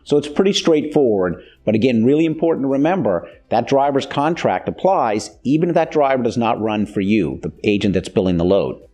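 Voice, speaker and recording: A man's voice: mid-range voice, animated, a little fast, hardly any pauses, mellow, faint music behind. An American man in his fifties giving a plain-spoken, instructional explanation as the host of a training video. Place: indoor video studio.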